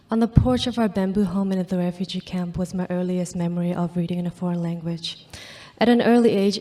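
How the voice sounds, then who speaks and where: A woman, a native speaker of Karen, reads her memoir aloud in English. Her voice sits low and sounds mellow and warm. She reads earnestly and makes small grammar slips. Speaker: student reader. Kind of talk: reading a personal memoir essay.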